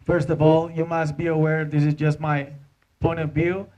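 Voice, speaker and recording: A man in his thirties with a Spanish accent gives an informal conference talk as an animator, speaking halting non-native English into a handheld microphone. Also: fairly high pitch, flat delivery, warm tone, muffled recording. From Spain. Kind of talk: informal presentation to an audience. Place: indoor hotel conference room.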